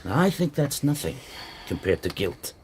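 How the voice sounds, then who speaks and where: An actor playing a worldly, probing professor in a radio drama, speaking in a dry, measured, erudite manner. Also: male voice, mid-range voice, big pitch swings, darkish tone, slight background noise, natural voice. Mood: wry, grave. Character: worldly, probing male history professor, wry.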